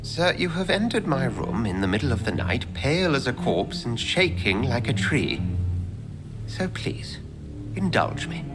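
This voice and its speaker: An actor voicing an urbane, unflappable doctor in a video game, speaking formally and politely. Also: male voice, mid-range voice, big pitch swings, measured pace. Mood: courteous, probing. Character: urbane, unflappable male doctor, secretive vampire investigator. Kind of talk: scripted game cutscene dialogue. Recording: music under the voice.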